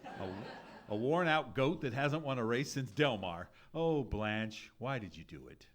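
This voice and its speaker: An actor playing an exasperated, sleep-deprived, penny-pinching husband in a live radio comedy, blustery, sarcastic and indignant. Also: male voice, medium pitch, lively intonation, unhurried, a little hiss or hum, natural voice. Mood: outraged despair. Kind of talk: live old-time radio comedy acting before an audience. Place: live theatre stage.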